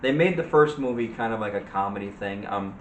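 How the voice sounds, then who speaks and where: A man giving casual movie opinions as a co-host in a halting, rambling way. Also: fairly low pitch, animated, crowd noise. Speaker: co-host of a movie discussion show. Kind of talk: casual chat about movies. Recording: roomy echo with light background noise.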